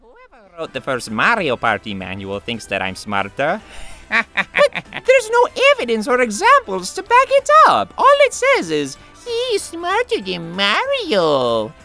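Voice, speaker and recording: A man voicing excitable, squabbling and boastful cartoon plumber brothers from a video game parody, with exaggerated reactions. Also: sits high, measured pace, vocal fry, soft background music, voice pushed up, exaggerated voice. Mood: boastful, indignant. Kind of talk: cartoon character voice acting.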